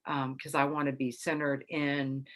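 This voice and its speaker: A middle-aged woman hosting a casual astrology talk to camera, a little congested after being ill, chatty and relaxed with soft laughs. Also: a bass voice, measured pace. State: slightly congested after illness. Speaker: psychic astrology host.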